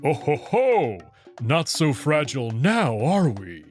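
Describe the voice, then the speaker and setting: A man's voice: on the high side, sing-song, takes it slowly, soft background music. A man voicing a big, young male alien wolf, caring and playful, in a visual novel. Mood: playful, teasing. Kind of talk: visual novel character dub.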